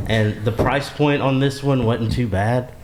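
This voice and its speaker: A man's voice: medium pitch, fairly even intonation, a little slow. A man chatting casually at a tasting in an easygoing, tentative manner. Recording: noisy, echoey room.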